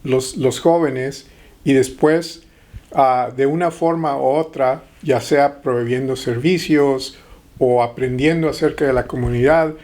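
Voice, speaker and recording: Slow, hesitant middle-aged man. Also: mid-range voice, fairly even intonation, darkish tone. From Texas-Mexico border. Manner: hesitant, many pauses and fillers. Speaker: guest. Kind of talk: interview conversation.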